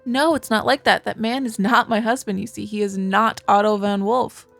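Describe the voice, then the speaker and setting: A woman's voice: medium pitch, fairly even intonation, normal speed, gravelly, a bit bright, soft background music. A woman voicing a distressed, insistent young wife in a visual novel, speaking earnestly. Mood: insistent, troubled. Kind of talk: visual novel dialogue read aloud.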